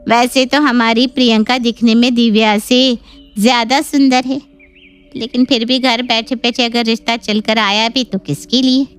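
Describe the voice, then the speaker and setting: A woman's voice: fairly high pitch, fairly even intonation, moderate pace, clean tone, soft background music, voice pushed up, natural voice. A woman voicing an envious, fretful middle-aged mother with a complaining, wistful manner in a cartoon story. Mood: envious, fretting.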